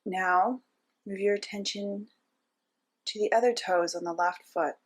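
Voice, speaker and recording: Female meditation teacher, medium-pitched voice, gentle instructional delivery, pauses between short phrases. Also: fairly even intonation. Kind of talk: guided meditation narration.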